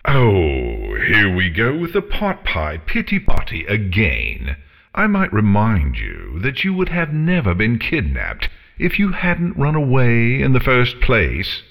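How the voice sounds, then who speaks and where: A man's voice: fairly low pitch, huge pitch swings, slow, gravelly, fairly bright tone, big-room sound, pitched above the performer's own voice, full character voice. A man voicing a huge, haughty female dragon in a storybook, sneering and condescending with theatrical scorn. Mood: mocking. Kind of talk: storybook character acting.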